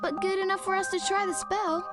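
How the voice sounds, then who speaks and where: Woman playing a high-voiced sweet little girl. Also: little pitch movement, brisk, loud music behind the voice. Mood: helpful. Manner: polite, childlike. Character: sweet, polite, eager little girl with magic powers.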